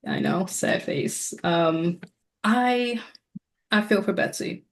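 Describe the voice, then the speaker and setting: A woman's voice: on the low side, wildly swooping pitch, takes it slowly, creaky. A woman co-hosting a podcast in a casual, chatty style. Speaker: podcast co-host. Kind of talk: casual chat.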